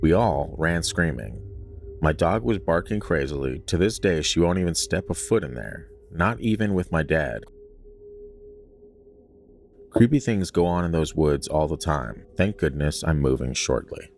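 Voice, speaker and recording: A man with an extremely deep voice hosts a podcast. He talks and reads stories aloud in an informal, conversational way, with room for joking asides. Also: lively intonation, normal speed, soft background music. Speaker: podcast host. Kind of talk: casual chat and reading listener stories aloud.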